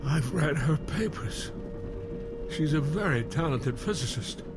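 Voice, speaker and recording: A man's voice: fairly high pitch, animated, measured pace, music in the background. An actor playing a skeptical, protective man on the run in an audio drama, with a challenging way of talking. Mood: surprised.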